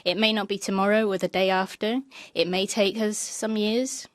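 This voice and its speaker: A woman giving live interpretation of a parliamentary committee speech, in a steady, measured delivery. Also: mid-range voice, no room sound. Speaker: simultaneous interpreter.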